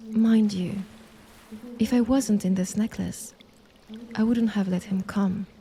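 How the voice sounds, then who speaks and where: A composed female monster voiced by a woman, the stern younger sister in a fantasy audio roleplay. Her mid-pitched voice is mellow and unforced. She speaks in measured, formal phrases with solemn restraint. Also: steady tone. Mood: grave reproach. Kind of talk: dramatic audio roleplay acting.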